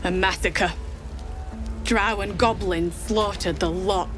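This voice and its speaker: A woman's voice: mid-range voice, steady tone, slow pace, over music, natural voice. An actress playing a grieving, devout woman soldier in a fantasy video game, solemn and weary.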